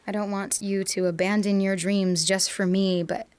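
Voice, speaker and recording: A young woman playing an insecure, devoted girlfriend who speaks in a hushed, faltering way in a student radio drama. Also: medium pitch, level tone, normal speed, clean tone, natural voice. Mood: fearful.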